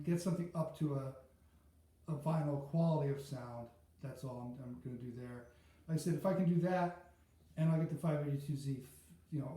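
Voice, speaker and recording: A man's voice: fairly high pitch, warm tone. A middle-aged or older man chatting casually and unscripted about his hobby, rambling and hesitant as he thinks aloud. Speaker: audiophile hobbyist vlogger. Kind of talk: casual unscripted product chat. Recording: very echoey room, muffled tone.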